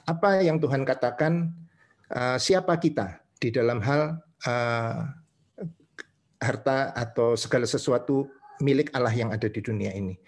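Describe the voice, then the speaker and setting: A man's voice: mid-range voice, measured pace. A man with an Indonesian accent preaches in a didactic way, reading scripture and explaining it phrase by phrase with short confirming tags.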